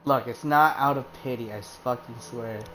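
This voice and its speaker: A woman's voice: rumbling low, normal speed, poor audio. A woman streaming a game, giving casual, sardonic, sweary commentary and talking back to the characters. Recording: stream voice over game music.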